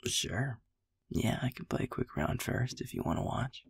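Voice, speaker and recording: Man acting a gravelly, breathy young boyfriend. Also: on the low side, lively intonation, dry recording, studio quality, exaggerated voice. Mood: playful, affectionate. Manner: soft, breathy, halting and affectionate. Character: sweet, easygoing young werewolf boyfriend. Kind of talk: intimate boyfriend audio roleplay.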